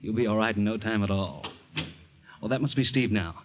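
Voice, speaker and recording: An actor playing an earnest ex-convict and caring uncle in a 1940s radio drama. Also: male voice, lowish voice, fairly quick, slight background noise, lightly acted. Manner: earnest, expressive, tender then firm. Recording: muffled 1940s radio recording.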